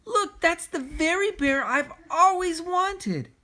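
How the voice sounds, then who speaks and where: Excited little storybook girl, played by a man, high and drawn-out, eager and pleading. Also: full character voice. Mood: eager, longing.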